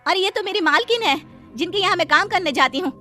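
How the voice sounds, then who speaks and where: A woman's voice: extremely high pitch, lively intonation, fast talker, bright, forceful tone, faint music behind, voice pushed up, heavily acted. Woman playing a fretful poor housemaid wife. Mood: surprised. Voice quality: pleading, fretful. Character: poor young housemaid wife, anxious, dutiful. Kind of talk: Hindi cartoon voice acting.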